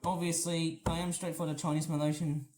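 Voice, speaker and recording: A woman from England whose accent blends British and Australian, mostly Australian, talking in a meandering, filler-heavy vlog style as she tidies up. Her voice sits fairly low for a woman and has a slightly shrill, nasal edge. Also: flat delivery, fairly quick, a little mellow. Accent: mixed British and Australian, mainly Australian. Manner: rambling, many fillers and restarts, talks while cleaning. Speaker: vlogger. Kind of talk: casual rambling vlog chat.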